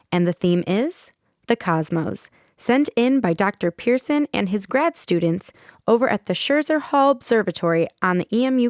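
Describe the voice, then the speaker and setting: Radio play role: polite, chatty female local radio host, played by an actress, mid-pitched, tight dry studio sound. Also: a little mellow, dry recording, natural voice. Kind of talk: radio play, presenting a music show.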